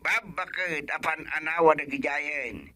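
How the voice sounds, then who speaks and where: A man's voice: a little high, measured pace, crowd noise, close to an everyday voice. An actor playing a flustered, bashful village man in a comedy radio drama, speaking hesitantly.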